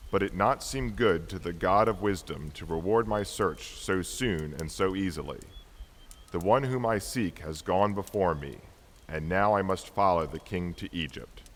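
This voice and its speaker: A man playing a devout, courteous Magus in an amateur dramatic Christmas reading, speaking in a formal, solemn, declamatory way. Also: deep voice. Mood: solemn wonder. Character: Artaban, devout, courteous, weary male Magus seeking a king. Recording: long hall reverb, faint background noise.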